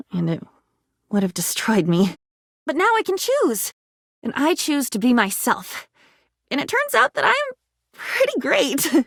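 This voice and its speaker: Eager, high-pitched, swooping game heroine. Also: female voice, a bit bright, dry recording, clean studio sound, full character voice. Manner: earnest, effusive, quaintly formal and theatrical. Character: newly self-aware diary come to life, eager, naive, devoted friend. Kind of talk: video game character acting.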